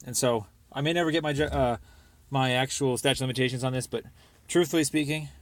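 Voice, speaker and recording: A man's voice: mid-range voice, quick pace, no echo. A young American man leaving a rambling personal voicemail, speaking in an insistent, aggrieved and repetitive way.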